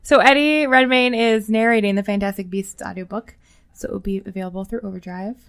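Female podcast co-host, informal and enthusiastic book chat, medium-pitched voice, repeats herself for emphasis. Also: fairly even intonation, brisk.

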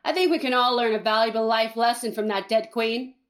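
A woman's voice: on the high side, natural voice. A woman playing a callous, cynical reality-show producer with a sardonic manner in a campy comedic audio drama. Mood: breezy, callous. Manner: sardonic, brisk, shady.

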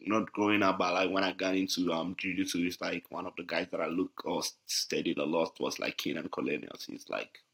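Young ghana-born guy, low voice, bright tone, relaxed podcast guest. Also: a little fast, vocal fry, few pauses. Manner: easygoing, many fillers, frequent laughs. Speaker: guest, jiu-jitsu athlete. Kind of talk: casual podcast interview.

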